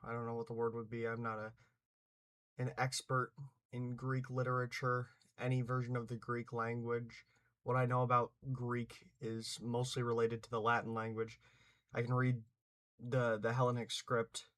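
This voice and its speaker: A man's voice: medium pitch, completely flat delivery, moderate pace, fairly bright tone. A young man talking casually and unscripted, full of hesitations, restarts and fillers, now and then swearing for emphasis. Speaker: channel host giving opinions.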